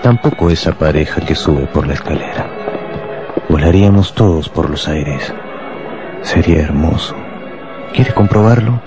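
An actor playing a proud, menacing professor of explosives in a radio drama, speaking deliberately and grandly with deadly calm. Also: male voice, extremely low pitch, over music. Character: cold fanatical bomb-making professor, proud and deadly calm. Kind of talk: Spanish-language radio drama acting.

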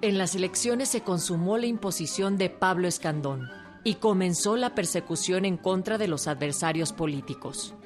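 Casting: female narrator, Mexican accent, slightly low voice, scripted radio history with string music clearly behind. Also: expressive, normal speed.

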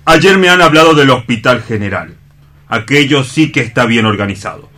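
An actor playing an earnest, disappointed assistant in a radio drama, deferential and comically solemn. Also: male voice, mid-range voice, animated, normal speed, pushed and bright. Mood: aggrieved. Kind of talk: dramatic staged radio reading.

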